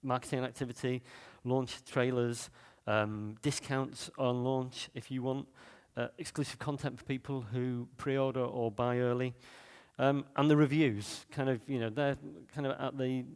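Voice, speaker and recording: A man from Manchester with a Manchester accent, speaking at a conference in a mid-pitched voice. His delivery is loose and conversational, full of ums, restarts and asides as he gives his talk. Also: fairly even intonation, measured pace, a little mellow, smooth, big-room sound. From Manchester, England. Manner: loose, chatty delivery with many fillers and restarts.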